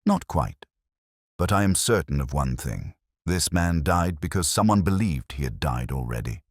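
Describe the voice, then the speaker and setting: A brilliant male detective, played by a male narrator with an extremely low voice and lively intonation. He is composed and probing, setting out each deduction in a measured, cryptic way. It is storybook mystery dialogue, recorded close and dry. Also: no echo, heavily acted. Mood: measured, certain.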